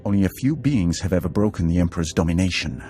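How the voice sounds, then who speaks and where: An actor playing a centuries-old Sith lord, calm, formal and portentous, in a science fiction game. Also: male voice, deep voice, fast talker, faint music behind. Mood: solemn, earnest.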